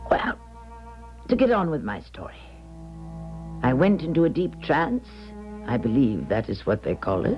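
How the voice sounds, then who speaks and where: An actress playing a grand, weary older clairvoyant in a radio drama, speaking in a measured, solemn, theatrical way. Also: female voice, deep voice, full character voice. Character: grand, weary clairvoyant woman recalling her gift. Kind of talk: 1970s radio drama acting and narration. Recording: muffled 1970s radio broadcast, music behind.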